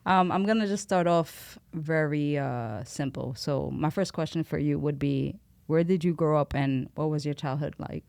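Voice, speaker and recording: Low-voiced woman hosting a podcast, dry sound. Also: normal speed, creaky, few pauses, a little mellow, smooth, dry recording. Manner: friendly, short encouraging replies. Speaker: podcast host, DJ. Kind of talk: casual interview hosting.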